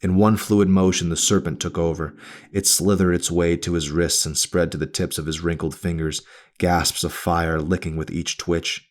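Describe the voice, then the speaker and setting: A man reading a novel aloud as its author, in a brooding, dramatic narration style with deliberate phrasing. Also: deep voice, level tone.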